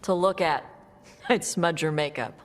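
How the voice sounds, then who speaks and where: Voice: mid-range voice, measured pace, lightly acted. An actor playing a self-conscious, blunt and awkward young character in a fantasy video game cutscene. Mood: awkward, shy. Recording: long reverb, music behind, game playback.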